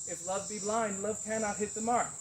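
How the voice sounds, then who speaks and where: A man's voice: sits high, fairly even intonation, moderate pace, a little hiss or hum, close to an everyday voice. A man acting a witty, mocking young nobleman in a Shakespearean stage style, theatrical and declamatory. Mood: invoking.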